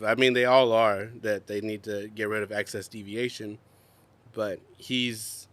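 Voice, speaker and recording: Young man, low voice, congested and coughing, relaxed podcast co-host. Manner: chatty, rambling with many fillers and laughs. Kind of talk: casual chat. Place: outdoors.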